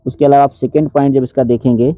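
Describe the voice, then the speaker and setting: A man's voice: medium pitch, flat delivery, fast talker, no echo. Man on a muffled phone-like recording. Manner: explanatory, addresses viewers directly. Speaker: exam-prep channel host. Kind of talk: informal news update, reading out an official notice. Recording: dull phone-like sound, dry.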